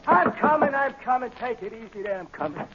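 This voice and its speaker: An actor playing a stammering small-time crook who talks in street slang, in a 1940s radio drama. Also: male voice, extremely high pitch, animated, a little fast, over loud music. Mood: flustered, wary. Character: nervous small-time reformed crook, an eager tipster. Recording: muffled vintage 1940s radio recording.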